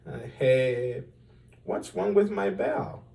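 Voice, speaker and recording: Man reading as a fretful old bell ringer, slow. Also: high-pitched, animated, gravelly, dark tone, far from the mic, pitched above the performer's own voice, heavily acted. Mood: puzzled. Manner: murmuring, gulping, alarmed.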